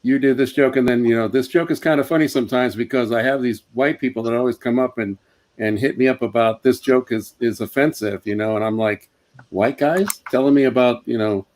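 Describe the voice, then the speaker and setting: Man giving casual, halting advice. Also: mid-range voice, darkish tone. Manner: halting, repeats phrases as he explains. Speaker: comedian giving feedback.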